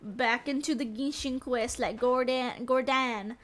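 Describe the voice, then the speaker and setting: A young woman with a Southern American accent and a high voice, streaming a game and chatting with her viewers. She giggles a lot and keeps the talk playful and loose. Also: steady tone, unhurried. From Southern United States. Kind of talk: casual chat while gaming.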